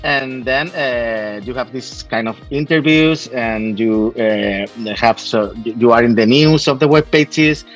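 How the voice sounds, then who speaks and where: A man's voice: medium pitch, slow, hardly any pauses, lo-fi sound, soft background music. A man from Spain with a Spanish accent, a postdoctoral researcher speaking hesitantly with many fillers and tag questions.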